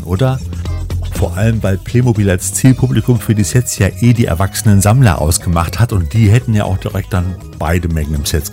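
Low male voice, show host, scripted narration, music underneath. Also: warm tone. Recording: music bed behind the voice.

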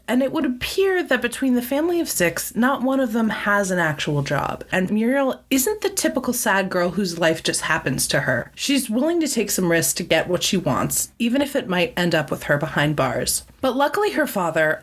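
Playful woman co-hosting a podcast. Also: mid-range voice. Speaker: podcast co-host. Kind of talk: casual film podcast chat.

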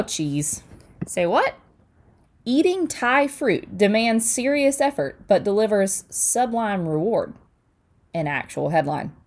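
Lively woman reading a news digest. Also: medium pitch. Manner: conversational, punchy delivery with wry asides. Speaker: news podcast host. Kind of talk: scripted news digest narration.

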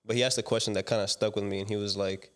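Low, flat-voiced young man telling stories. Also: racing. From Dominican Republic. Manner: relaxed, slangy storytelling with many fillers. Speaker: guest, commercial director. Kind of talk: casual interview talk.

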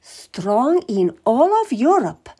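A woman reading an audiobook voices a shrewd queen who plays meek, in a lilting, slow and sweetly deferential manner. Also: on the high side, sing-song, mellow, slightly rough, voice pushed up, exaggerated voice. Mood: sweetly guileful. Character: regal queen, shrewd, feigning meekness. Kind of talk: audiobook character voice.

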